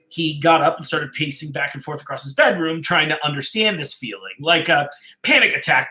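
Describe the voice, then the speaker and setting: A man reading a story aloud as a dramatic storyteller, deliberate and suspenseful, stressing key phrases and dropping to hushed endings. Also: fairly high pitch, poor audio. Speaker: storyteller, host of a live reading show.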